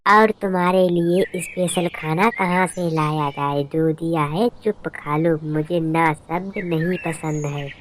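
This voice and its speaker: A woman's voice: deep voice, steady tone, unhurried, hardly any pauses, slight background noise. A woman voicing a stingy, bossy middle-aged mother-in-law bird in a cartoon, curt and commanding. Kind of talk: Hindi cartoon dubbing.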